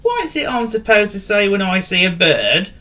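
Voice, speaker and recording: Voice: medium pitch, sing-song, moderate pace, bright, forceful tone, pitched above the performer's own voice. A performer voicing a forgetful, silly, cheerful male dog in a children's storybook, hesitant and given to chortling. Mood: puzzled, polite.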